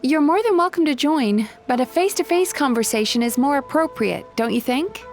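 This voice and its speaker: A woman voicing a friendly, composed yokai therapist in a video game, polite, measured and reassuring. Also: sits high, animated, over music. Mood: poised, inviting. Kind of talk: scripted video game dialogue.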